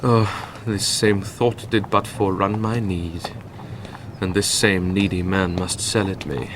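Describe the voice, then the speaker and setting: Casting: grief-stricken young noble, British, slow deliberate Shakespearean radio acting, clearly noisy background. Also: male voice, sits low, steady tone. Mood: brooding, desperate. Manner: theatrical, deliberate verse delivery.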